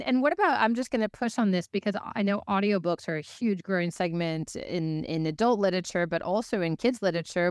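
A woman's voice: on the low side, smooth, dry recording, studio quality. A woman hosting an interview in a conversational style, thinking aloud through winding, exploratory questions. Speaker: interview host.

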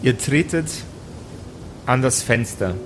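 A man narrating an improvised tabletop audio play as game master, telling the scene in deliberate, descriptive phrases. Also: medium pitch, expressive, music in the background.

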